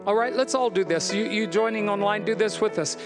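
A middle-aged American man, a pastor preaching emphatically on a church stage into a handheld mic, then leading a soft altar-call prayer. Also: high-pitched, brisk, over music. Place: large church stage with band.

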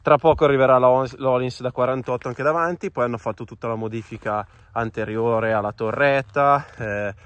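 A man's voice: mid-range voice, steady tone, a little fast, hardly any pauses, no room sound, muffled recording. A man with an Italian accent, a rally rider vlogging outdoors and talking straight to his viewers in an upbeat way. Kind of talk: casual vlog commentary. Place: outdoors on a gravel yard and trail.

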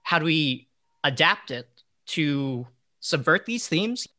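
A young man moderating a reflective panel discussion in a deliberate, questioning way. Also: on the high side, expressive, sharp tone. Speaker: panel moderator and play adapter.

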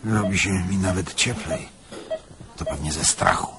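A man's voice: low voice, unhurried, noisy background. Querulous old male patient. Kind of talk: radio drama dialogue.

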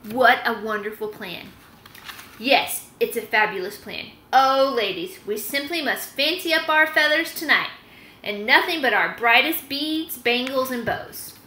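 A woman voicing vain, excitable, gossipy storybook hens with a gushing, preening manner. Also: on the high side, measured pace, roomy sound, a little hiss or hum. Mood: gushing, excited.

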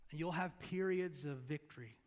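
High-voiced man preaching a sermon. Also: normal speed, slight background noise. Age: middle-aged. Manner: conversational, asks the congregation questions; moves around the stage and gestures while preaching. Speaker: pastor. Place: church stage.

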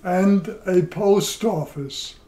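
An older man from Poland, seated at home, telling his life story in a slow, measured way with many pauses, recorded by a camera across the room. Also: sits high, dark tone. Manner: slow, measured, pausing between phrases. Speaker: interviewee. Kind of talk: oral history storytelling. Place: living room, indoors.

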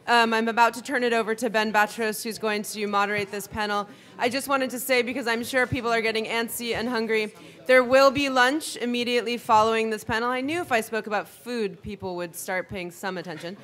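A woman organizing a conference, loudly calling the room to order and making announcements in a large hall. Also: mid-range voice. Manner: loud, calls the room to order.

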